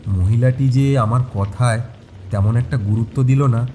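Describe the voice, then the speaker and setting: A man's voice: medium pitch, a little slow, warm tone, a little hiss or hum. A man narrating a first-person horror audio story in a scripted storytelling style. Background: rain sounds.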